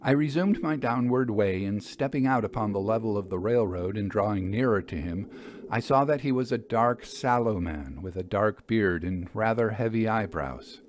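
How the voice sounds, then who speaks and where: A man reading literary narration as a storyteller, with measured, deliberate phrasing. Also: on the low side, slight background noise. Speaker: storyteller, podcast host.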